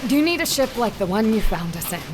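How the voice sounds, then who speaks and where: A composed, guarded captive captain. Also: female voice, on the high side, big pitch swings, quick pace, over music. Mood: wary, wistful. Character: female captive ship captain, composed, guarded, quietly defiant.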